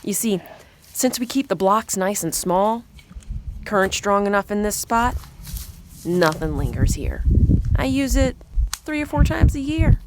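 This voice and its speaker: A woman acting as a cold-blooded backwoods killer in an audio drama. The delivery is folksy, unhurried and matter-of-fact, at a middle pitch, with clear noise behind it. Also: lively intonation, natural voice. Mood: calm, folksy.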